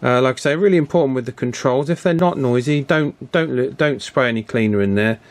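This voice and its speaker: A man giving a casual, chatty hands-on repair commentary in an unhurried way, as if thinking aloud. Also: medium pitch. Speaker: radio repair hobbyist presenting his work.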